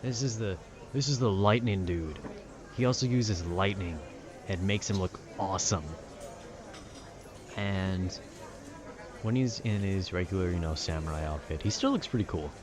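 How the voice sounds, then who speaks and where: A man's voice: low-pitched, moderate pace, pauses often, over music. A young man rambling casually in a nerdy, slangy, meandering way, often trailing off and losing his thread. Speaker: audio content creator rambling to listeners. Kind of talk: casual rambling talk about video games. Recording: clear background noise, urban ambience.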